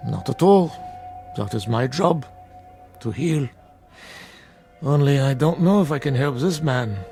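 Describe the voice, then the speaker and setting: A solemn, troubled doctor in a radio play. He speaks slowly and gravely with a creaky edge, over prominent dramatic music. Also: mid-range voice, lively intonation, a little mellow. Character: solemn, dutiful male doctor troubled by horror.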